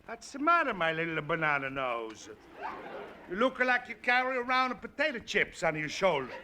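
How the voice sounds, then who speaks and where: An actor playing a brash, mocking Italian immigrant neighbor who speaks heavy broken English, in a 1940s radio sitcom. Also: male voice, high-pitched, big pitch swings, normal speed, pushed and bright, full character voice. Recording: old radio broadcast with faint hiss.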